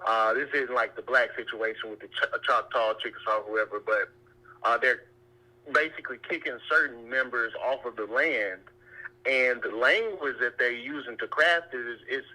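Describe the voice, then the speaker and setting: A man calling in to share opinions conversationally, halting and circling back to rephrase his points, over a telephone-like line. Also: mid-range voice, pushed and bright. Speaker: caller.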